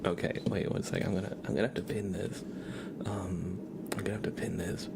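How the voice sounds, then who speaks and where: A man hosting a live ASMR stream, chatting casually with viewers in a soft, half-whispered, amused way, close to the microphone. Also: deep voice, flat delivery, brisk, vocal fry, very noisy.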